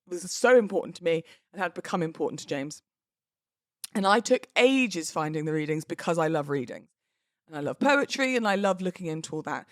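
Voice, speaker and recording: A woman's voice: fairly low pitch, dry recording, clean studio sound. A British woman hosting a podcast on her own, chatting in a playful, theatrical way. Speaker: podcast host and wedding celebrant. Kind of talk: chatty solo podcast talk.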